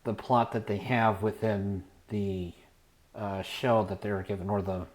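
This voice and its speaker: A man telling a rambling personal story casually, halting as he searches for words and trails off. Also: on the low side, flat delivery, darkish tone. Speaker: storyteller, show host.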